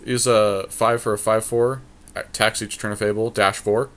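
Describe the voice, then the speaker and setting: A man's voice: fairly low pitch, little pitch movement, moderate pace. A man co-hosting a casual card game draft commentary, thinking aloud as he weighs each choice. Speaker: podcast co-host and player making card picks.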